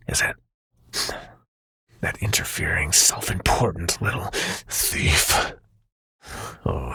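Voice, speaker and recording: A man playing a seductive, menacing prince of nightmares in an intimate ASMR roleplay, speaking in a breathy, silky, commanding half-whisper. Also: a bass voice, pushed and bright, no echo, studio quality, exaggerated voice. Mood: seething anger. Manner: slow, silky, commanding, taunting.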